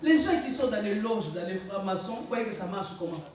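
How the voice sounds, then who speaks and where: A woman's voice: mid-range voice, very animated, brisk, darkish tone. A woman pastor preaching a sermon and calling out "Alléluia" for her congregation to answer. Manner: preaching with frequent calls of "Alléluia" for congregational response. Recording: very echoey, mic far off. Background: congregation answering "Amen".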